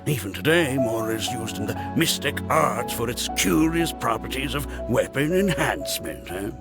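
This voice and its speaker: An actor playing a boastful male archaeologist in a game, lecturing and quick to take offense. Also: mid-range voice, lively intonation, gravelly, loud music behind the voice. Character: pompous self-styled male archaeologist. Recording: game cutscene with faint score.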